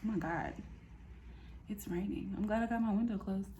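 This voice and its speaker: A woman's voice: mid-range voice, moderate pace. A woman of thirty giving a casual personal update, talking in a candid, informal, slangy way. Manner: candid, informal, slangy, animated retelling with quoted asides. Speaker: vlogger. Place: apartment room, indoors.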